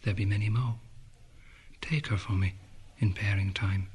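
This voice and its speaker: An actor voicing a brooding young poet's murmured inner monologue, at times sinking to a whisper. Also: male voice, on the low side, fairly even intonation, moderate pace, darkish tone, slightly rough. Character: brooding young male poet, inward and allusive.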